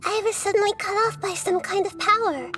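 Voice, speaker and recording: High-voiced gentle child goddess. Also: female voice, a little fast. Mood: urgent. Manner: composed, earnest, reassuring. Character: gentle wise childlike goddess, calm and caring. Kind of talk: video game character acting.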